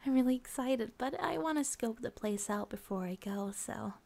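A woman playing a sleepy, affectionate young student girlfriend in an ASMR roleplay, talking softly, drowsily and hesitantly. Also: a little high, lively intonation, moderate pace, gravelly. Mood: drowsy, affectionate. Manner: soft, drowsy, hesitant, giggly.

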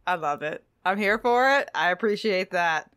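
A woman's voice: on the low side, animated, moderate pace. A woman co-hosting a book-club podcast in casual, conversational talk. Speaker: podcast co-host.

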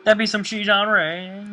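A man in casual gaming chat with friends, excitable and swearing often. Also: high-pitched, takes it slowly. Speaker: gamer.

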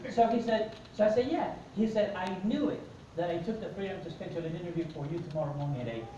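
Middle-aged guy w a mexican accent, kinda high voice, echoey conference room heard from far back. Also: fast, noisy background. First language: Spanish. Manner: animated storyteller, acts out dialogue. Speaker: motivational speaker. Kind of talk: personal story talk to an audience. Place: conference room with seated audience. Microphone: far camera in the audience.

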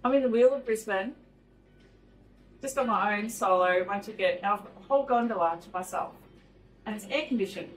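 A woman's voice: mid-range voice, brisk, pauses often, rough recording. A middle-aged woman chatting cheerfully to her phone at arm's length inside a ride gondola. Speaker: travel vlogger. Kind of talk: casual selfie chat.

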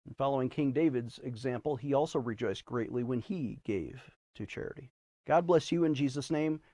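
A man's voice: medium pitch, moderate pace, warm tone, dry recording. An American man in his fifties hosting a religious program, presenting and preaching in an emphatic, theatrical way. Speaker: host and preacher of a religious news show. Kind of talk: presenting viewer letters with preaching commentary. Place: TV studio. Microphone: lapel mic.

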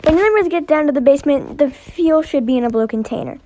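A woman reading character lines for an amateur animated skit. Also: high voice, fast, no echo, faint music behind.

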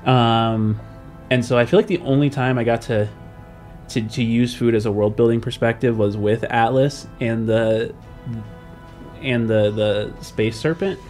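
A man's voice: medium pitch, steady tone, unhurried, darkish tone. A man hosting a podcast as a game master, talking casually and hesitantly as he thinks aloud. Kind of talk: casual podcast chat about world building. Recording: background music under the voice.

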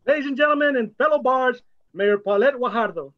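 A man's voice: extremely high pitch, brisk. A man hosting a ceremony with florid, formal introductions and remarks, heard through lo-fi audio. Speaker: event host, outgoing poet laureate. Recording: dull, lo-fi audio.

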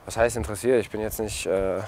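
A young man in his twenties from Germany, answering interview questions outdoors in a relaxed way, with many fillers and restarts. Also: lowish voice, fast talker, slight background noise. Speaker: interviewee, footballer. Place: outdoors at a stadium and a café terrace.

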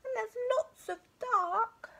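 A small, frightened bear cub from a storybook, acted out by a woman, speaks very high and plaintive. He is needy and whines timidly. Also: slow, a bit bright, full character voice. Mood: whiny, doubtful. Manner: plaintive, whining.

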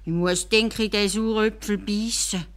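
A woman's voice: mid-range voice, fairly even intonation, moderate pace, voice pushed up, close to an everyday voice. A woman voicing a caliph from a fairy tale, reluctant and exclaiming, in Swiss German. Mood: reluctant, resigned. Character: reluctant, grumbling caliph turned stork.

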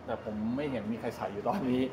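A middle-aged man talks in a chatty, rambling, explanatory way, like a vlogger showing people around. His voice sits at a medium pitch. It is recorded at arm's length in a big echoey space with clear ambient noise. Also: fast talker. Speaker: vlogger giving a tour. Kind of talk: casual walk-through explanation to camera. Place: large open-sided go-kart pit hall. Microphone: 360 camera at arm's length. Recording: roomy echo, loud ambient noise.

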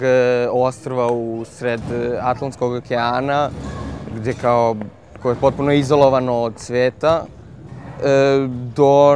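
A man giving a halting on-camera interview answer, searching for words. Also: medium pitch, darkish tone. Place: outdoors on a city street. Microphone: lapel. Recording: street noise with traffic behind.

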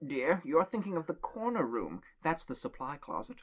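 A man's voice: on the high side, sing-song. A man voicing a grave, tired old woman. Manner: slow, grave, warning.

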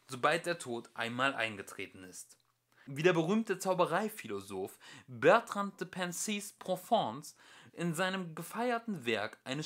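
A man's voice: fairly high pitch, fairly bright tone. A young adult man reading a book of fairy-tale commentary aloud as an amateur audiobook narrator.

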